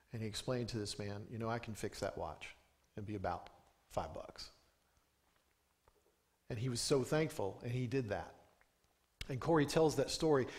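A man in his thirties, a pastor giving a sermon from a pulpit microphone in a small church, telling stories in an earnest way and lifting his voice for emphasis. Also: medium pitch. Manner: earnest storytelling with emphatic rising lines. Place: small church sanctuary, indoors.

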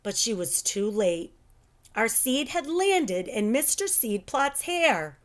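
Animated middle-aged woman reading a storybook slowly. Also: fairly high pitch. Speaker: teacher reading aloud. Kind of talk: expressive children's picture book read-aloud. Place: living room at home.

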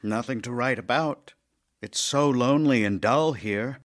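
An actor playing a middle-aged Russian playwright in an audio drama, wry and lovesick, with theatrical, deliberate pauses. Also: male voice, mid-range voice, lightly acted. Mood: lonely, gloomy. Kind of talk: theatrical audio drama, reading love letters.

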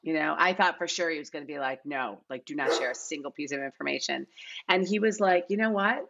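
Middle-aged woman, low register, informal interviewee, slightly dull call audio. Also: brisk, creaky, a bit bright. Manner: informal, repeats herself for emphasis. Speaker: casting director, podcast guest. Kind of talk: casual podcast interview talk. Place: large living room at home.

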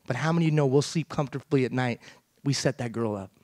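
A man's voice: medium pitch, quick pace, a little mellow, no echo. Man, middle-aged, keynote speaker, emphatic, rhetorical questions, headset mic, dry close sound. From Iran. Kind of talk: motivational keynote speech. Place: large theater stage.

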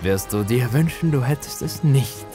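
A man voicing a gloating, manipulative older villain in a horror story, with a taunting, drawn-out delivery. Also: medium pitch, animated, over music, natural voice. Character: sinister mocking older man, gloating manipulative dealmaker with supernatural power.

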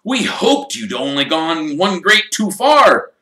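A man voicing an excitable, kindly middle-aged father who gets indignant and enthusiastic, read in an echoey room. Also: on the high side, slow, recorded from a distance, voice pushed up, heavily acted. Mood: worried.

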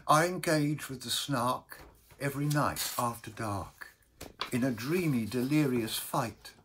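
A man voicing a meek, sorrowful baker in a storybook verse reading, telling his woe in a halting, mournful, mild way. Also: medium pitch, fairly bright tone, slight background noise, pitched above the performer's own voice, natural voice. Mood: anguished.

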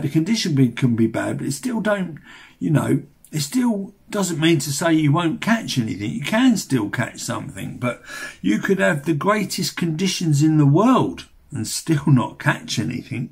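Casting: English male, 60s, gravel-edged husky voice, rambling casual vlogger with a blokey, colloquial delivery. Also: medium pitch. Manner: chatty, rambling, mildly sweary, colloquial. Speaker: fishing vlogger. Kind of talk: casual vlog narration.

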